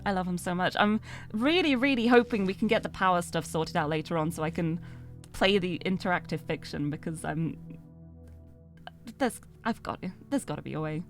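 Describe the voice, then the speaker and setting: A woman's voice: lowish voice, normal speed, faint music behind. A young British woman from the UK, chatting in a rambling, self-deprecating way while streaming a game. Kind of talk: casual chat while gaming live.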